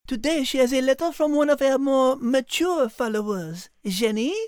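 Woman voicing French-accented animal heroine, high-pitched, dry sound, gracious, tender, children's podcast character. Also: moderate pace, a little mellow, clean tone, no room sound, close to an everyday voice. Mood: polite.

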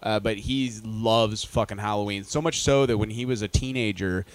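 A man's voice: fairly low pitch, normal speed, dry recording. Man, podcast host, opinionated, profane, dry close sound. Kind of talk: casual podcast banter.

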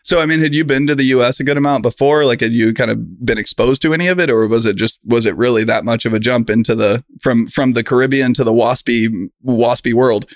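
A man's voice: medium pitch, brisk, no room sound. Man hosting a podcast, dry sound. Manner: rambling, loosely phrased questions. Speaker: podcast host. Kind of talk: casual interview chat.

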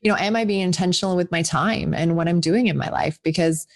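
A woman in her forties talks as a guest author in a relaxed interview. Her voice sits a little low, and she rambles cheerfully, circling back with restarts and fillers. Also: fast talker. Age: 40s. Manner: chatty, rambling with many restarts and likes. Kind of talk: casual podcast interview.

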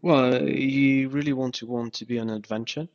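A man's voice: mid-range voice, fairly even intonation, moderate pace, darkish tone. A man from Ukraine, a startup founder interviewed as a guest, speaking casually and hesitantly with many fillers and restarts. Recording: dull lo-fi podcast audio.

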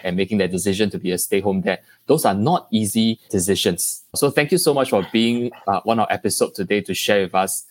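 A man's voice: fairly low pitch, a little fast, few pauses, no room sound. A man hosting a podcast interview, polite and formal, thanking his guest often. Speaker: podcast host.

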